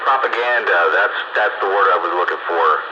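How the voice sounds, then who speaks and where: A man's voice: low voice, normal speed. A man chatting casually about politics in a blunt, salty way. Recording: muffled, noisy radio-style audio.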